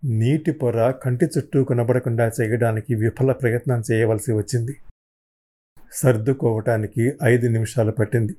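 Guy reading a dramatic novel, first person, really mellow dark voice, mid pitch. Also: fairly even intonation, normal speed. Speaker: audiobook narrator.

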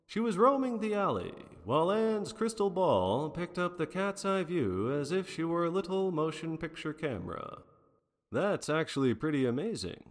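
A man's voice: fairly high pitch, very animated, normal speed. An actor playing a wry 1940s private detective, narrating his cases in a hard-boiled, theatrical radio drama style. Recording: long reverb, music underneath.

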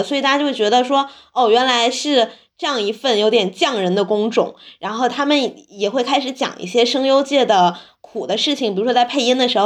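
Woman podcast host just chatting, kinda higher voice. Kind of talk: casual chat.

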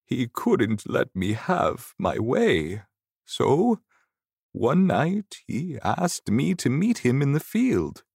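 A man voicing a frail, gentle old farmer's ghost, telling his tale slowly and deliberately in a storybook narration. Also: medium pitch, wildly swooping pitch, creaky, husky, no echo, studio quality, pitched above the performer's own voice, heavily acted. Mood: wistful, recounting. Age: older.

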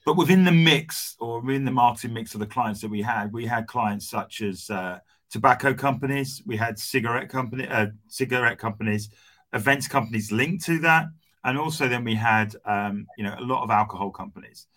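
A man's voice: mid-range voice, brisk, fairly bright tone. A man from the United Kingdom, a guest agency founder, answering interview questions conversationally and restarting sentences.

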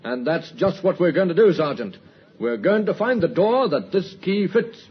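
An actor playing a dogged, methodical police inspector in a measured, authoritative old-time radio drama style. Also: male voice, high-pitched, very animated, heavy background noise. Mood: resolute, musing. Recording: muffled, husky old radio recording.

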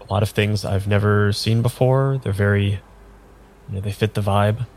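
Hesitant low-voiced amnesiac man played by an actor. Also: fairly even intonation, darkish tone, a little hiss or hum, close to an everyday voice. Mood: uneasy, hesitant. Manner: halting, hesitant, understated. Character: nervous, hesitant amnesiac man having his tarot read. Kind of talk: improvised radio drama acting.

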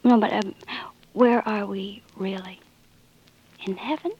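A woman's voice: mid-range voice, fairly even intonation, a little slow, darkish tone, husky, no echo. An actress playing a plucky young working-class American woman in love, wry and dreamy, in 1940s radio drama style. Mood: tender, dreamy. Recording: muffled vintage radio recording with music.

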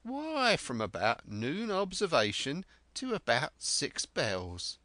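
Man putting on a cunning old castaway, high wavering voice, slow rustic sailor talk, dry sound. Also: huge pitch swings, pushed and bright, no echo, heavily acted. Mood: anxious. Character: half-wild old male castaway, eager, cunning.

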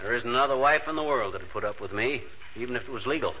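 Guy doing a wisecracking middle-aged husband, really lively pitch, slangy, muffled old radio sound. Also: fast talker, very noisy, natural voice. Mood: genial, blustery. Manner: folksy, slangy, pleased with own puns. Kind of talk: 1940s radio comedy acting.

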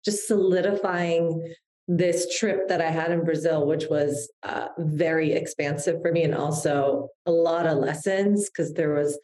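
A woman's voice: sits low, steady tone, a little slow, warm tone, echoey room. A woman in her thirties, a guest on a podcast interview, talking in a reflective, heartfelt way with frequent restarts. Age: 30s. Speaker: guest, publicist.

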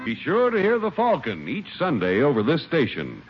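A man announces in polished scripted 1950s radio style. His fairly high voice rises and falls a lot over music, on a dull old recording. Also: huge pitch swings, normal speed.

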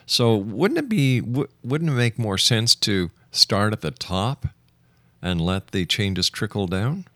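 A man's voice: lowish voice, expressive, slow pace, a bit bright, no room sound, studio quality. A man hosting a radio interview, putting skeptical, probing questions to his guest. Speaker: radio show host and interviewer.

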